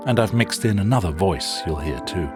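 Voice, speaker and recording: A man hosting a sound documentary, narrating a scripted introduction in a measured, wry storytelling manner. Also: deep voice, lively intonation. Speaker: show host and narrator. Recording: narration over loud music.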